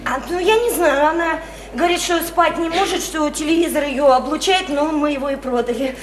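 A woman's voice: high-pitched, fairly quick, crowd noise, natural voice. An actress playing a naive, odd, chatty young provincial woman in a radio play, rambling earnestly in simple folksy speech with hesitant fillers. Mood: earnest, gossipy. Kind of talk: theatrical radio play dialogue. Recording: echoey, noisy stage radio play recording.

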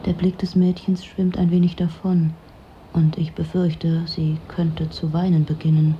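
A woman's voice: on the low side, level tone, a little slow, muffled recording, a little hiss or hum, natural voice. An actress playing a weary, detached, lonely woman who narrates her own story in a dry, introspective way for a radio drama. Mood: uneasy, resigned. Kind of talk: literary first-person radio drama narration.

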